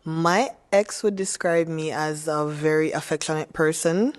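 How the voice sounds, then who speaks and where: A woman's voice: sits low, measured pace. A Jamaican woman in her thirties, a dating show contestant, chatting casually with plenty of "like"s. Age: 30s. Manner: chatty, uses many likes, laughs a lot.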